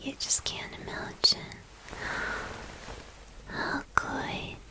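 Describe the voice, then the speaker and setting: Woman playing a whispering, bashful young vampire student. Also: poor audio. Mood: shy, awkward. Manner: halting, bashful, confiding. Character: shy, awkward young vampire student at monster school. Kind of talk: whispered ASMR role play.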